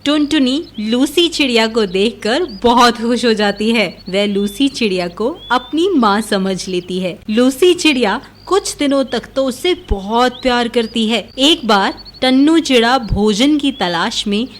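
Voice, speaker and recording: A woman's voice: fairly high pitch, expressive, a little slow, hardly any pauses, slight background noise. A woman narrator reading a scripted children's moral story.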